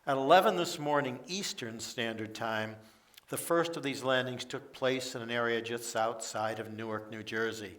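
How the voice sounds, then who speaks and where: A man playing a formal senior diplomat reading an official statement to the press in a radio play, deliberate in delivery. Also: mid-range voice, a bit bright, lightly acted. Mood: formal, reassuring. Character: formal United Nations Secretary General addressing press. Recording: distant from the microphone.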